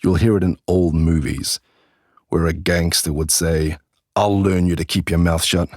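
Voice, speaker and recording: A middle-aged man with an English accent teaches a podcast lesson in a very low, slightly husky voice. He talks clearly and deliberately, slowing down on key words and example sentences. The sound is close and dry. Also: fairly even intonation, darkish tone, no room sound. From England.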